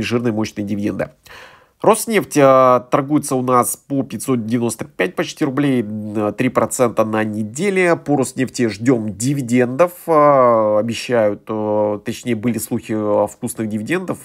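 A man with a middling, ordinary voice talks through his portfolio as a voice-over on a phone screen recording. His delivery is hesitant, padded with drawn-out fillers between figures. It has the feel of a casual home-made investing channel. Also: mid-range voice. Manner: filler-heavy, hesitant with frequent "э".

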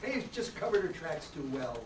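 A man's voice: on the high side, sing-song, moderate pace. A man playing an earnest, worried lawyer in love in an old-time radio soap opera. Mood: pleading. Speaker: amateur performer in a radio play reenactment. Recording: echoey room, far from mic, hiss.

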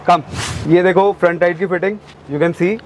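A young man, a company representative explaining products in a relaxed, matter-of-fact way on a lapel mic. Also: high-pitched, moderate pace, slight background noise.

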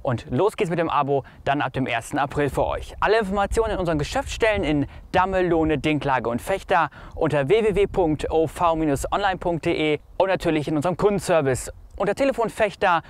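A young man presents a scripted promotion. His voice is somewhat high for a man, and he addresses viewers directly as he goes through the prepared advertising copy. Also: lively intonation, a little fast. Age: young adult.

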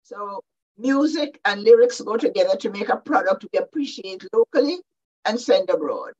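An older Jamaican woman speaking as a panelist over a video call from her home study, deliberate and explanatory. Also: mid-range voice, expressive, darkish tone. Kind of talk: measured panel talk. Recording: dull lo-fi video call audio.

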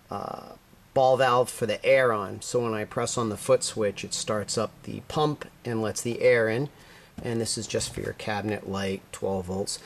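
A man's voice: medium pitch, a little hiss or hum. A man casually walking through a do-it-yourself build in his garage workshop, explaining as he goes and often correcting himself mid-sentence. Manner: rambling, self-correcting explanations while filming. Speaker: DIY video maker.

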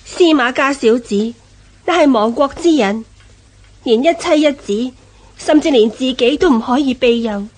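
A captive empress in a historical radio drama. Her voice is high, and she speaks formally, coy and ingratiating, full of submissive flattery. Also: moderate pace.

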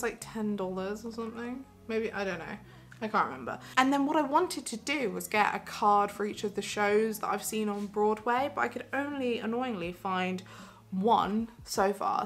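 Chatty, excitable young woman. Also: mid-range voice. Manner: chatty, giggly, excitable, with lots of fillers. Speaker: vlogger. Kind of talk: casual haul vlog. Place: small bedroom, indoors.

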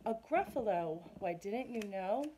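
A woman's voice: mid-range voice, lively intonation, unhurried, background noise, natural voice. A woman playing a clever, cheeky little mouse. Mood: polite. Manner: polite, matter-of-fact, teasing. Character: small clever brown mouse, calm and bold.

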